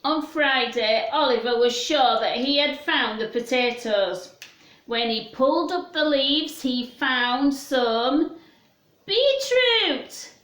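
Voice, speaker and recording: A woman storyteller reading expressively to young children, with gently questioning asides, in an echoey room. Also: high voice, takes it slowly. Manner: animated, gently questioning, addressing small children.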